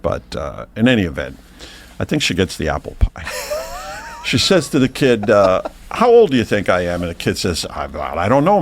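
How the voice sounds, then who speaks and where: A man co-hosting a podcast, telling a long joke in casual chat, rambling and halting with many uhs before building to the punchline. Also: mid-range voice, a bit bright, a little hiss or hum. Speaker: podcast co-host. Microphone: podcast mic, audio only.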